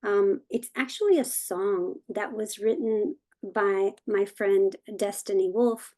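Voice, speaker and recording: A woman presenting casually in an online meeting. Her voice is soft-edged and mellow, and she speaks slowly, with a hesitant, halting delivery. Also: medium pitch. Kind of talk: casual introduction on a video call.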